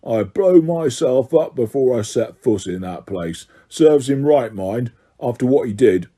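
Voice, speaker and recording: A man voicing a slow-talking, gruff older male bus driver who answers in terse grunts in a storybook reading. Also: medium pitch, expressive, dark tone.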